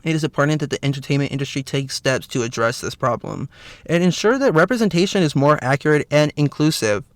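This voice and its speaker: A slightly hoarse man with a raspy voice hosts a solo podcast, talking earnestly with lots of "you know" fillers. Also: a little high, animated, quick pace, no room sound. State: slightly hoarse, clearing throat. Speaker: podcast host. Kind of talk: solo podcast commentary, partly read from notes.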